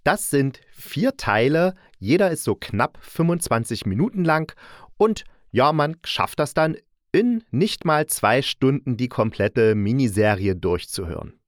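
A man's voice: medium pitch, animated, unhurried, no echo, studio quality. A man hosting a podcast, giving a casual, chatty spoken review with self-correcting asides. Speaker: podcast host reviewing radio plays.

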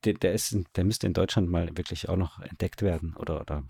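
A man with a low, gravelly, slightly rough voice answers as an interview guest. He talks casually and gropes for his phrasing, recorded dry and without room echo. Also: quick pace, mellow. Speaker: radio drama director, interview guest.

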